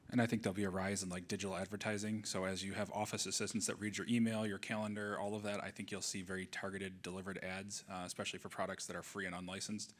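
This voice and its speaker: Male panelist, low and level voice, brisk, handheld mic on stage. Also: level tone. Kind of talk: panel discussion. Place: event hall, on stage.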